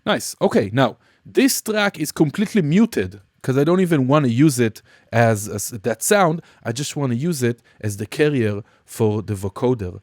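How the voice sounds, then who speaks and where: A man teaching music production in a casual software demonstration, explaining informally and somewhat hesitantly step by step. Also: mid-range voice, lively intonation, moderate pace, hardly any pauses. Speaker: music production tutorial instructor.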